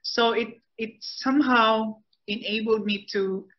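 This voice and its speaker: A woman poet speaking earnestly on a video call panel, halting now and then, with soft laughs. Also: a little high, fairly even intonation. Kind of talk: casual panel talk. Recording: muffled video call audio.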